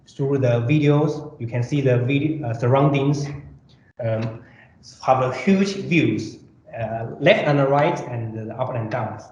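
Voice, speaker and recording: Slow-talking man demonstrating products, roomy echo. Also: on the high side. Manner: halting, simple non-native English with repetitions. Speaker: product presenter. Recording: roomy echo, dull sound, faint noise.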